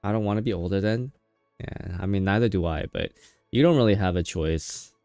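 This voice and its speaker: Low-voiced male game streamer, tired and rambling. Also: brisk, a little mellow, no echo, clean studio sound. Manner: rambling, playful teasing. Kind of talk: casual livestream chat. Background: game music.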